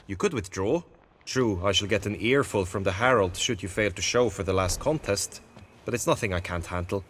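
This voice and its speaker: A man's voice: fairly low pitch, normal speed, fairly bright tone, close to an everyday voice. Man playing an earnest, lovesick knight, noisy surroundings. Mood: composed, courteous. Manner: formal, florid, archaic courtly phrasing. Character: earnest, lovesick male knight, anxious and gallant. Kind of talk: dramatic video game acting.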